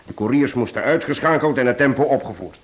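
An actor playing a genial detective in a radio play, explaining a case in a measured, explanatory manner. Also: male voice, mid-range voice, lively intonation, close to an everyday voice. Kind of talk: Dutch radio play acting.

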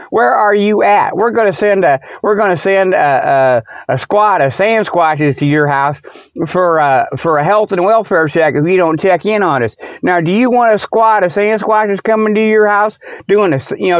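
A man's voice: sits high, normal speed, clear, resonant tone, no echo, dull audio. A man hosting a comic show, rambling off the cuff in a joking, folksy way with loose colloquial grammar and chuckles at himself. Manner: colloquial rural-style grammar such as ain't and them.

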